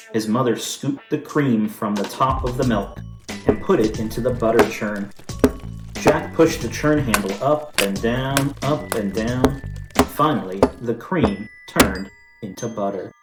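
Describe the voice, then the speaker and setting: A man, a teacher, reading a children's picture book aloud with slow, deliberate storytelling. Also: mid-range voice. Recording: roomy room with background music.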